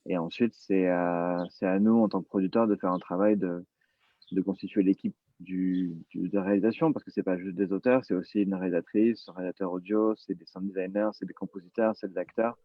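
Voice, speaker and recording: Male panel guest, producer type. Low voice, informal slangy talk, dry sound. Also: fairly even intonation, a little fast, no echo, lo-fi sound. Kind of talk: casual panel discussion.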